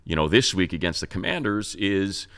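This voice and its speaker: A man's voice: low-pitched, lively intonation, moderate pace, a bit bright. An American man co-hosting a sports show, talking casually and analytically through a game in a discursive, conversational way. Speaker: sports show co-host and radio play-by-play announcer.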